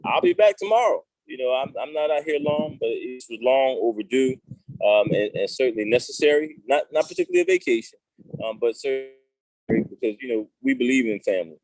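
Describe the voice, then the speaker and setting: A middle-aged man hosting a casual talk show over a video call, relaxed and chatty with lots of fillers. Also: medium pitch, no echo, rough recording. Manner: relaxed, conversational, many fillers. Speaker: show host.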